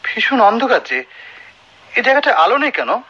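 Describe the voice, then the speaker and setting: Forceful, proud, weary doctor. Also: male voice, very high-pitched, big pitch swings, moderate pace, slightly rough, heavily acted. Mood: polite, uneasy. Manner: formal, brusque, musing. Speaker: radio drama actor. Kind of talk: dramatic radio play dialogue and soliloquy. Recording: noisy, muffled old radio drama recording.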